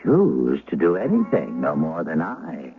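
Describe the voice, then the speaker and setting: An actor playing a gruff old country shepherd with rustic, archaic speech in a radio drama. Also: male voice, very deep voice, wildly swooping pitch, a little slow, narrow, tinny audio, full character voice. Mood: gruff, teasing. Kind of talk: 1970s radio drama acting. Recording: thin, hissy vintage radio recording.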